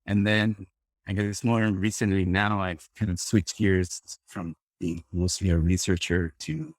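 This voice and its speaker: A man's voice: deep voice, steady tone, a little slow, dry recording. A man from Rica, a guest scientist talking casually in an interview, hesitant with many fillers and restarts. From Costa Rica. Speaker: guest plant ecologist.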